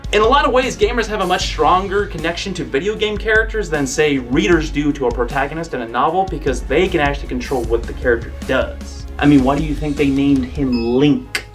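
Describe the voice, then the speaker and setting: A young American man giving scripted video essay commentary as a web show host, emphatic and opinionated with punchy asides. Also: on the high side. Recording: music playing behind the voice.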